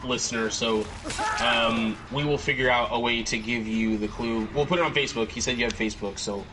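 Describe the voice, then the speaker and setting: A man's voice: medium pitch, fairly even intonation, a little fast, pushed and bright, slight background noise. Laid-back male podcast host. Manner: easygoing, filler-heavy, laughs often. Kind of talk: casual gaming chat.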